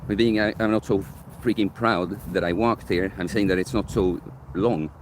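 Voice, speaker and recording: Young guy, super deep bass voice, rambly live stream chat from a park, phone mic, some street noise behind. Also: normal speed. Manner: rambling, self-correcting, thinking aloud. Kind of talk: casual live-stream chat answering viewer questions. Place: outdoors in a park near sunset. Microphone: phone camera held by the streamer.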